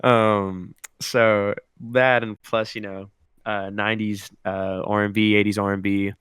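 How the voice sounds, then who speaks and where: Young man, casual podcast chat, lowish smooth voice, dry close mic, slangy and rambling. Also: flat delivery, a little slow, a bit bright, no room sound. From Southern California. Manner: hyped, slangy, rambling with fillers. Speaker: podcast co-host.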